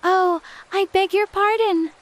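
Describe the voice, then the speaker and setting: Man voicing a very high-pitched polite little girl. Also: fairly even intonation, a little slow, fairly bright tone. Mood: fond, anxious. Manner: earnest, rambling, apologetic. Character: polite, chatty, curious little girl lost in wonderland. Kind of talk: dramatized audiobook character voice.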